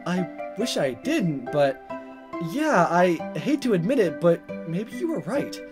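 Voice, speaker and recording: A man's voice: high voice, sing-song, slow, exaggerated voice. Man as a mild teenage schoolboy, music behind. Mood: calm, reluctant. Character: mild, level-headed teenage schoolboy protagonist.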